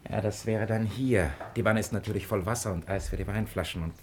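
An actor playing a polite, distracted party host in a radio play, with a placating manner. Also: male voice, on the low side, fairly quick, a little hiss or hum, natural voice. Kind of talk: German radio play dialogue.

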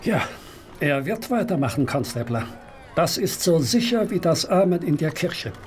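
An actor playing a stern, authoritative Victorian police inspector in a German radio play. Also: male voice, a little high, sing-song, normal speed. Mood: stern, grim. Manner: authoritative, giving orders.

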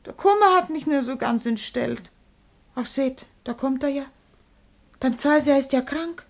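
Vain old lady jilted by a young lover: highish, crackly voice, theatrical lament, classical radio comedy. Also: measured pace. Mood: aggrieved.

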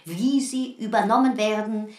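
A woman's voice: medium pitch, moderate pace, darkish tone, close to an everyday voice. A woman playing a composed, scholarly literary critic lecturing in a radio play. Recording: roomy, distant, faint music behind.

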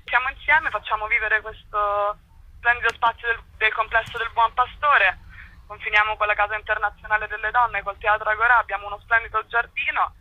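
A woman's voice: medium pitch, fairly even intonation, brisk, no room sound, highs cut off. A young woman speaking conversationally as a radio interview guest, explaining things while searching for words. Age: young adult. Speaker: cultural association spokesperson, interview guest.

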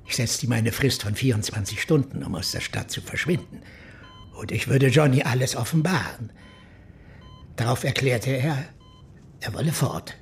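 An actor playing a docile preacher confessing to a killing in a hoarse, breathy voice for radio drama. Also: male voice, medium pitch, moderate pace, slight background noise, natural voice. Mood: docile, subdued. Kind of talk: German radio crime drama acting.

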